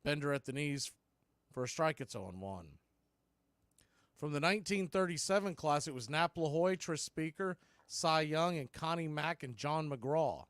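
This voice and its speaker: A man hosting a baseball broadcast, giving play-by-play commentary in clipped phrases with deliberate pauses. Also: fairly high pitch, animated, fairly bright tone, dry recording. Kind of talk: live play-by-play commentary mixed with history recap.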